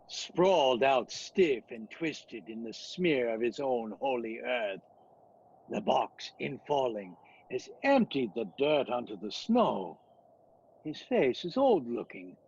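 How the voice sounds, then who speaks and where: A man playing a commanding vampire hunter in a radio play, speaking with solemn, dramatic urgency and a husky voice. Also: medium pitch, a little mellow, highs cut off, exaggerated voice. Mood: grave, tense.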